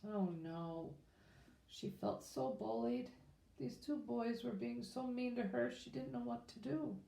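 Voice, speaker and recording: A woman reading a children's storybook aloud in an expressive, informal way. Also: on the high side, a little slow, vocal fry. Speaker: children's book reader. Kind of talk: read-aloud storybook narration. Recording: echoey room.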